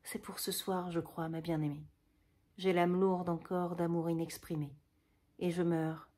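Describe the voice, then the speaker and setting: A tender, proud old poet at the end of his life, voiced low and hushed, as a woman reads him in a stage reading. His mellow, faint delivery sounds worn out, gentle and close to breaking. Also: little pitch movement, a little slow, lightly acted. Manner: soft, hushed and dying. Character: aging wounded male poet, tender and proud.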